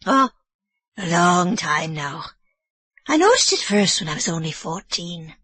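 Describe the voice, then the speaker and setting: A woman's voice: lowish voice, big pitch swings, a little slow, bright, forceful tone, husky, dry recording, heavily acted. A woman voicing an anxious elderly housekeeper woman with an Irish brogue, apologetic and deferential. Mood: hesitant. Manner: apologetic, deferential, sir-ing constantly.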